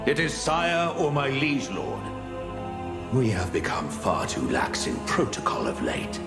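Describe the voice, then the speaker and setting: A man's voice: medium pitch, huge pitch swings, unhurried, creaky, heavily acted. A man playing a cold, haughty king in a dramatic game story, pompous and imperious, with echo and music. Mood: imperious, disapproving. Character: haughty, cold king addressing his seneschal. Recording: echoey, loud music underneath.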